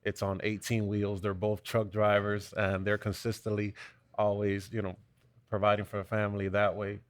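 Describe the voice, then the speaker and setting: Young man from Cuba, panel guest, low voice, flat delivery, dry sound. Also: no room sound. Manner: earnest, halting with fillers and restarts. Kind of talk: panel discussion, conversational.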